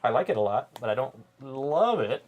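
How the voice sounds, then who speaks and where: A man's voice: medium pitch, very animated, normal speed, a crowd in the background. A man chatting casually during a board game live play, talking through his moves aloud as he goes.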